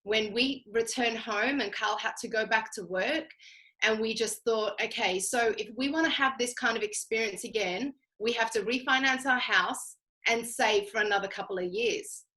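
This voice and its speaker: A woman's voice: fairly high pitch, normal speed, sharp tone, low-quality recording. An Australian woman giving a chatty, emphatic personal testimonial, speaking directly to her listeners. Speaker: guest speaker telling personal story. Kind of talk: conversational testimonial storytelling.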